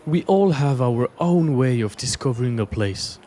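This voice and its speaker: A man's voice: mid-range voice, animated, normal speed. A man from French-speaking Switzerland, a native French speaker with a Swiss French accent, gives scripted, reflective travel narration as an artist presenting his journey. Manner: reflective, storytelling.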